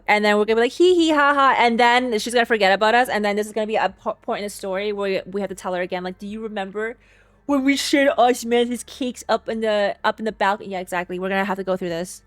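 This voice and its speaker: Woman game streamer, mid-pitched, casual, wry, dry close mic, faint music behind. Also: fast, a bit bright, no room sound. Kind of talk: casual game reaction commentary.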